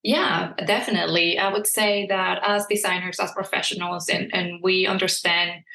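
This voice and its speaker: A woman with a Mexican accent, a guest interior designer in a casual interview, hesitating often and filling pauses with "you know". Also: on the low side, steady tone, vocal fry, bright, forceful tone. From southern Mexico. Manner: frequent "you know" fillers, hesitant restarts. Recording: echoey room.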